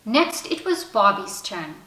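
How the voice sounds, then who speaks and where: A woman reading a children's storybook aloud in an expressive, animated, deliberate way. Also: mid-range voice. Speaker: teacher reading a children's picture book aloud. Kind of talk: expressive scripted storybook narration. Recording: roomy echo, distant from mic.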